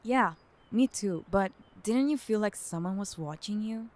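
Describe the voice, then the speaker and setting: A woman's voice: medium pitch, animated, a little slow, a little mellow, natural voice. A woman playing an uneasy young wife in an audio drama, hesitant and subdued. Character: uneasy young wife hiding her doubts.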